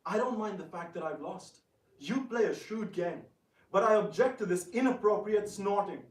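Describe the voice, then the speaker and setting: Dignified high-voiced prince played by a man, formal. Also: animated. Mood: indignant. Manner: formal, firm, deliberate. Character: dignified, stubborn divine prince with quick temper. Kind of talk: staged dramatic reading. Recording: echoey room, distant microphone.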